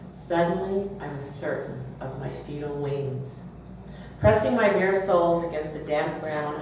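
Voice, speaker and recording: A woman author speaking and reading aloud at a live event. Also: sits low. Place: bookstore, audience event. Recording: roomy, distant, noisy live event recording.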